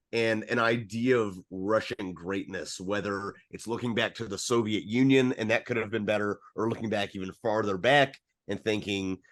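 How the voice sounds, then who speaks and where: A man with a lowish voice talks as a co-host in a relaxed discussion among friends. He is reflective and unpolished, working through ideas out loud and swearing casually. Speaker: podcast co-host.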